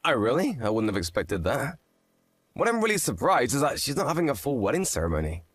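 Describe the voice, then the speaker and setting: A man's voice: medium pitch, very animated, brisk, fairly bright tone, dry recording. A man playing a candid, gossipy, skeptical guy in a scripted story drama. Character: skeptical, gossipy man, old high-school acquaintance.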